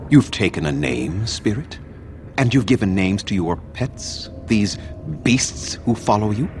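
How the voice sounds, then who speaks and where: An actor playing an ancient, unforgiving elven mage in a video game, speaking in a stern, formal and scornful manner. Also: male voice, on the low side, animated, unhurried, slight background noise. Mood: cold, stern.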